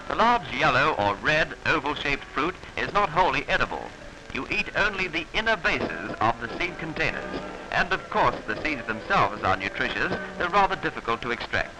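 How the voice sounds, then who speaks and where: A man's voice: medium pitch, noisy background. Man narrating a 1940s training film. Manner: instructive, formal, measured diction. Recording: old 1940s film soundtrack, music behind.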